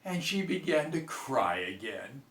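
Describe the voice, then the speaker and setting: A man's voice: medium pitch, very animated, unhurried, fairly bright tone, recorded from a distance. A man narrating a children's bedtime story as a storyteller. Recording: echoey room, off the mic.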